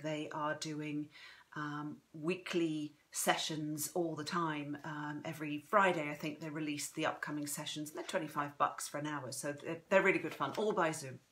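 Woman, 40s, slightly throaty and strained, lowish pitch, bright tone, chatty, effusive livestream host. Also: fairly even intonation, normal speed. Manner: chatty and effusive, greeting viewers by name. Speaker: author hosting a live book reading. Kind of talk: casual livestream chat. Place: home room, indoors. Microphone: phone propped in front of her.

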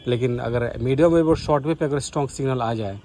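A man's voice: mid-range voice, fast talker, warm tone, slight background noise. A man giving casual vlog commentary as a radio hobbyist.

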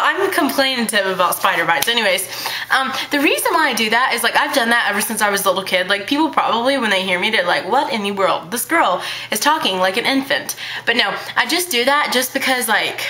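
A teenage girl vlogging to her webcam in her bedroom, talking fast and hyper in a childlike baby voice, full of fillers. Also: on the high side, bright, forceful tone, slight background noise. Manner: bubbly, hyper, chatty with many fillers. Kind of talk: casual chat to camera. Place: small bedroom indoors. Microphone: webcam at arm's length.

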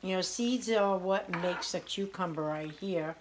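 A woman's voice: on the low side, unhurried, background noise. A woman in her forties hosting a casual home cooking video, chatty and homey as she prepares and tastes a salad in her kitchen. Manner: chatty, homey, tasting and praising the food as she goes. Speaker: home cooking show host. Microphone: camera on the counter at arm's length.

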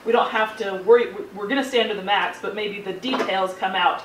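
A woman's voice: mid-range voice, steady tone, a little fast. An American woman informally running a board meeting as its chair. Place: indoor public board meeting room. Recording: echoey room, distant mic, faint noise.

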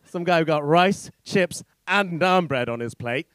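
Rambling male stand-up with an animated delivery. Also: on the high side, no room sound. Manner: rambling, halting, sweary. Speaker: stand-up comedian. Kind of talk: stand-up comedy set, informal crowd banter. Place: on stage in a small indoor comedy club. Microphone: handheld stage microphone.

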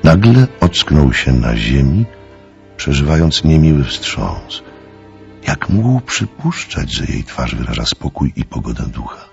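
A man's voice: a bass voice, very animated, unhurried, gravelly, a little mellow. A man narrating a scripted story for a radio drama in a dramatic storytelling style. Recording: slightly dull audio with music underneath.

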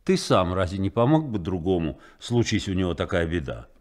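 A principled ship's officer, lively intonation. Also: male voice, low-pitched, brisk. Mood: firm, probing. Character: principled, fatherly ship's political officer, firm and kind. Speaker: radio drama actor. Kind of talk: 1970s Soviet radio play acting.

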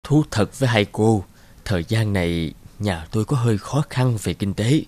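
A man voicing a weary, gentle mother in a cartoon, speaking hesitantly and apologetically. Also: on the low side, expressive, moderate pace. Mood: humbly grateful. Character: weary, gentle mother shopping with her young son. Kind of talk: cartoon moral-tale acting.

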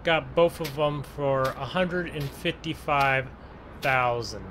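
A man giving casual gameplay commentary in a conversational, unhurried way with trailing pauses. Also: medium pitch, a bit bright, a little hiss or hum. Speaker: gaming video host.

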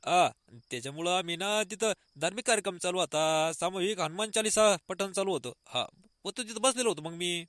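A talkative, devout and touchy cartoon villager played by a man with a rural Maharashtrian accent. He speaks emphatically and fast in a high voice with a dry sound. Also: fairly bright tone, no room sound. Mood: devout, explaining. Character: devout, talkative village elder brother, easily irritated. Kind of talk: comic cartoon dialogue.

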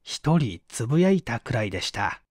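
A man narrating a mystery story as an audiobook reader, in an expressive, measured storytelling manner on a close microphone. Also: mid-range voice, no echo. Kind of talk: scripted literary narration, mystery story reading.

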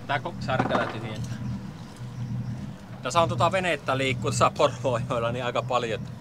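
A middle-aged man from Finland casually narrating his sailing trip to an action camera, thinking aloud with plenty of fillers. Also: medium pitch. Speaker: sailing vlogger. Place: outdoors on a sailboat cockpit under a canopy. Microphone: action camera mounted on the boat. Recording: wind and water noise behind.

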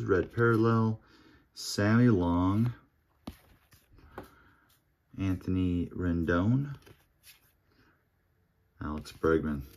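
A man with a deep, low voice talks slowly through a pack opening at his table. He reads out each pull in an easygoing, half-muttered way, as if to himself. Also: creaky. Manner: murmurs player names one by one, relaxed and unhurried. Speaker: card collector opening packs. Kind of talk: casual pack-opening commentary. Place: home table with card collection display.

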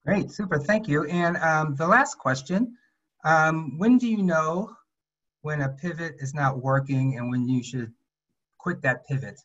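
A man moderates a video call Q&A. His voice runs a bit high for a man, and he keeps his questions brief and polite. The webcam audio comes through dull and lo-fi. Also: a little slow, a bit bright. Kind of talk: reading audience questions.

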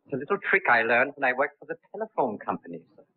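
A man's voice: medium pitch, very animated, fast, slightly rough. An actor playing a cocky, wisecracking man in a 1930s film, on a tinny old soundtrack.

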